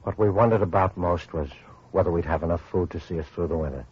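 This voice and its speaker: An actor playing a weary, grave male survivor of atomic war, narrating a radio drama in a sombre, measured way. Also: low-pitched, husky. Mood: sombre, reflective. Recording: muffled old radio recording, music behind.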